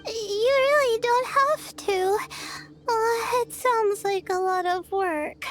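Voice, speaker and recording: A woman's voice: very high voice, slow pace, fairly bright tone, soft background music, full character voice. An actress playing a timid, anxious young female student in video game dialogue, hesitant and flustered. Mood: grateful.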